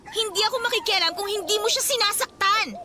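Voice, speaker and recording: A feisty young woman in a radio drama who scolds like a protective friend, blunt and indignant. Her pitch is extremely high. There is clear noise in the background. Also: fairly quick. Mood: alarmed, indignant. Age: young adult. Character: outspoken, indignant young female best friend.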